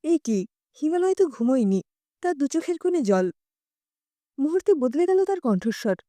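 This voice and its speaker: A woman narrating a scripted short story in an expressive storytelling style. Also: high-pitched, moderate pace, no echo.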